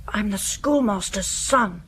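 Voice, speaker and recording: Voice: medium pitch, slow, fairly bright tone, a little hiss or hum. An actor playing a defiant, guarded thirteen-year-old boy in a radio drama, answering curtly. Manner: curt, stonewalling short answers.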